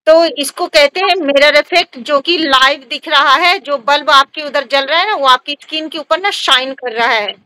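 Casting: woman, high and forceful, close dry sound, emphatic conversational advice-giver who repeats for effect. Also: no echo, poor audio. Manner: emphatic, repetitive, with frequent tag questions. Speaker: vlogger and skin treatment provider. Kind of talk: casual advice talk to viewers.

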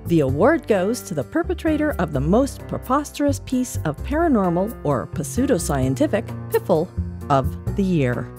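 Canadian woman presenting over music. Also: fairly low pitch. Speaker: podcast segment presenter. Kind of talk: reading a newsletter aloud with light asides.

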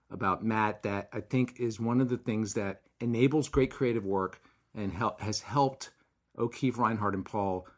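Hoarse man hosting a podcast. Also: fairly low pitch. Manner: rambling, self-deprecating, wry. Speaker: podcast host. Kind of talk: casual conversational interview.

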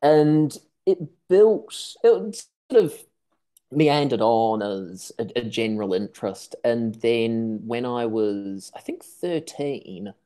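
Mellow-voiced young man, measured answers. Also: medium pitch, animated, smooth. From New Zealand, raised in Tasmania. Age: young adult. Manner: articulate, measured. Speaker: guest, seabird researcher. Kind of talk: conversational interview answers. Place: room at home, video call.

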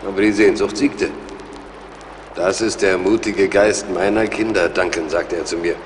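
A man's voice: deep voice, steady tone, normal speed, slight background noise, close to an everyday voice. A man playing an earnest, courteous British major in a German audio drama. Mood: reassuring, earnest.

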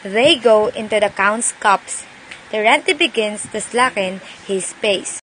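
A woman's voice: medium pitch, a little slow, a bit bright. A woman reading scripted narration for an amateur radio play. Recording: noisy amateur home recording.

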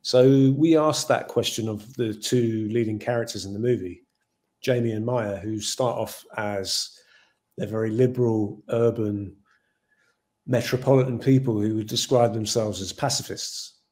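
A middle-aged man with an English accent speaks over a video call from his home. His voice is warm and dark, and his answers wander thoughtfully, full of "you know" asides. He sounds like a film director musing about his work. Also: fairly low pitch, a little slow. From Ireland. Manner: thoughtful, rambling with many "you know" fillers. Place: home room with shelves.

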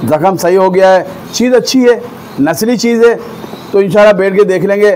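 A man walks through a goat farm, praising the animals eagerly and pitching them like a salesman. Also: a little fast, dark tone, slight background noise, rough recording. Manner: enthusiastic, repeats blessings often, salesy. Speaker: vlogger visiting a goat farm. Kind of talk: walk-through vlog commentary with a seller.

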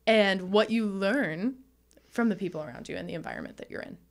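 A woman's voice: medium pitch, a little fast. A woman in her twenties with a mid-range voice, co-hosting a casual sex education podcast. She speaks earnestly and encouragingly, leaning on "like" as she thinks aloud. Her voice is captured close on a studio microphone. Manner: earnest, many "like" fillers, encouraging. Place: small studio with bookshelves and pink light. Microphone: studio mic on boom arm.

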